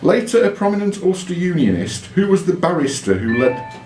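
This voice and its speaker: A man's voice: high-pitched, big pitch swings, moderate pace, darkish tone. A man hosting a quiz, reading out questions in a live, informal way and judging the answers. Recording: roomy echo, faint music behind.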